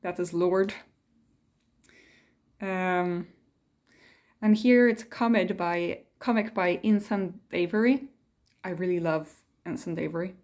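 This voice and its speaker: A woman's voice: lowish voice, slow, pauses often, a little mellow. A woman vlogger talking casually and reflectively in a hesitant, rambling way, reading quotes aloud between her own thoughts.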